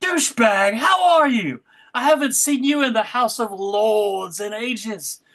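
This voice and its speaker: A woman's voice: fairly high pitch, unhurried, fairly bright tone. A woman playing a hearty, effusive aristocrat in a comedy sketch, with a jovial and grandly theatrical manner.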